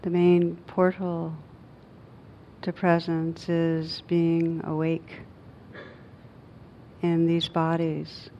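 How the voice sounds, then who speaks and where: A woman guiding a meditation as a teacher, speaking gently and unhurriedly in short phrases with long pauses. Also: monotone. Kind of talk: guided meditation. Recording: dull muffled recording with faint rumbling noise.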